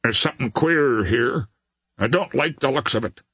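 A man voicing a blunt, suspicious yacht captain in an audiobook, gruff and plain-spoken. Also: mid-range voice, lively intonation, normal speed, fairly bright tone, no echo, studio quality. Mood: suspicious, uneasy.

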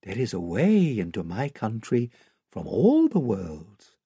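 A man voicing a small, serene lamb in a storybook, with a sweet, milky, raised voice and a slow, gentle manner. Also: lowish voice, wildly swooping pitch, husky, no room sound, pitched below the performer's own voice, full character voice. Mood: serene, inviting.

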